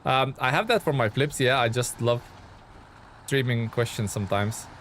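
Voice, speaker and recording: A man's voice: medium pitch, normal speed, a bit bright, a little hiss or hum. A man from Lithuania chatting loosely on a live game stream, reading out and answering viewer comments with plenty of fillers. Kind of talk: casual live-stream chat.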